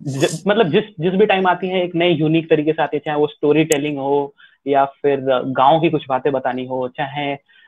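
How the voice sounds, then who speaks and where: A man's voice: on the high side, steady tone, normal speed, no room sound, narrow, tinny audio. A man hosting an interview, asking friendly, chatty questions and mixing in English phrases. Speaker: interview host.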